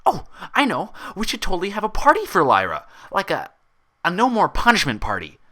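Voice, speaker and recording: A man voicing an excitable, childlike female pony who gushes eagerly in a story reading. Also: high voice, a little fast, voice pushed up, exaggerated voice. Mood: excited, pleading. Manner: gushing, pleading, eager.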